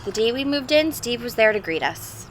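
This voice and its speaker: Female storybook reader for young children, expressive and chatty, creaky mid-range voice, clear background noise. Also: fairly high pitch, moderate pace. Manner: expressive, simple explanations and questions for young children. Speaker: teacher reading a picture book aloud to children. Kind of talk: storybook read-aloud with chatty asides to children.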